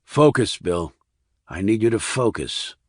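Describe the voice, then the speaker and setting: Audiobook thriller role , male narrator: hard military investigator, controlled and blunt, husky with dramatic pitch movement. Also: expressive, a little slow, no echo, clean studio sound. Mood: insistent.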